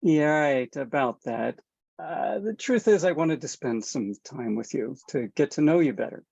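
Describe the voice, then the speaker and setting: Low, gravelly woman reading a weary dad for a table read. Dull lo-fi sound. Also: animated, a little slow, darkish tone, full character voice. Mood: wry. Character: adult man, a weary husband and father.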